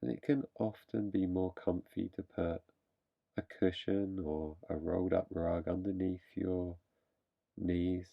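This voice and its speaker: Very deep, slow male meditation guide. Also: fairly even intonation, creaky, highs cut off. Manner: slow, unhurried, with long pauses.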